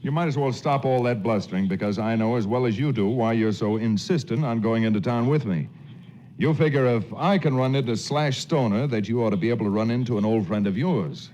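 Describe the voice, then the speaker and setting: An actor playing a wry, confident cowboy hero in a 1940s radio western, with folksy dry humour. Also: male voice, mid-range voice, brisk, darkish tone, natural voice. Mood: teasing, firm. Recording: muffled 1940s radio recording, faint noise.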